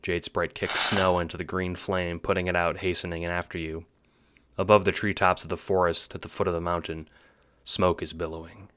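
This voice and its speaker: A man's voice: sits low, level tone, a little fast, fairly bright tone. A man giving scripted narration for an audio book styled as a drama. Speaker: narrator, writer and producer.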